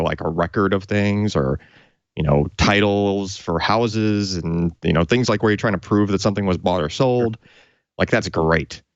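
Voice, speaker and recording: Low-voiced man explaining tech, dry muffled sound. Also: no echo. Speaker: tech show co-host and hardware reviewer. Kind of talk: casual technical explanation.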